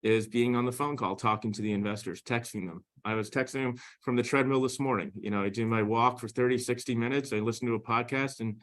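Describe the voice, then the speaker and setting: A man's voice: medium pitch, steady tone, fast talker, few pauses. A man speaking as an interview guest and founder, in a casual, conversational and emphatic way.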